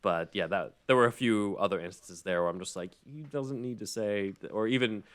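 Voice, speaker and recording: A man with a fairly low voice hosts a relaxed podcast discussion. He talks conversationally and often chuckles between phrases. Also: brisk. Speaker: podcast host. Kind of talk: casual chat with read-aloud summary.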